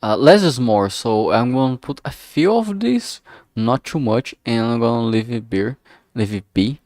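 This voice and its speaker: A man's voice: medium pitch, lively intonation, unhurried, a little mellow, dry recording, studio quality. A man chatting casually on a livestream, thinking aloud in a hesitant, musing way and often trailing off mid-thought. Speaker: streamer making a visual novel.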